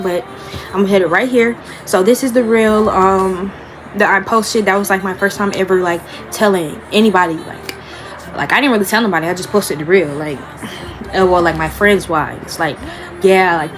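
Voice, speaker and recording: A young woman from Florida speaks to her viewers at home in a hesitant, halting way, filling her pauses with "um" and "like". Her voice sits at an ordinary middle pitch. A faint hiss of background noise sits under her voice. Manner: halting, many fillers and restarts, keeps asking "you feel what I'm saying". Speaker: vlogger. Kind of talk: casual storytime vlog.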